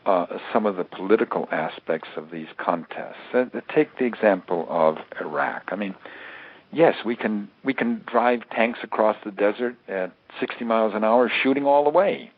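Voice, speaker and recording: An American man giving analytical answers as an expert interview guest, repeating words haltingly as he builds his points. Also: on the low side, dry recording. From United States. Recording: narrowband phone-like radio interview line.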